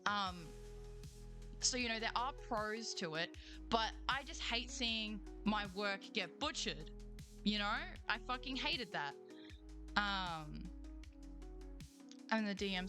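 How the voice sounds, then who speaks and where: A young Australian woman streamer and voice actor, ranting casually to chat with lots of slang and swearing. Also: mid-range voice. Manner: swears freely, slangy, quick emotional swings. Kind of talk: casual livestream rant and chat reading.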